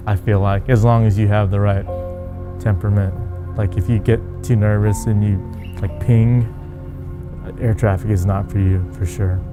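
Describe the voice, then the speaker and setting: A man's voice: on the low side, fairly even intonation, normal speed. A man who works as an air traffic controller, talking informally in an interview. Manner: informal, peppered with "like". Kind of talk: casual documentary interview. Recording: music bed behind voice.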